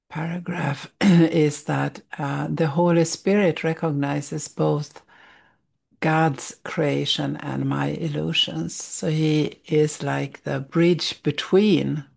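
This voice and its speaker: Swedish accent lady, low gravelly voice, reads a spiritual text then muses on it, slow and searching. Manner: thoughtful, searching for words, soft restarts. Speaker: spiritual study teacher. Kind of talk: reading aloud and reflecting on a spiritual text.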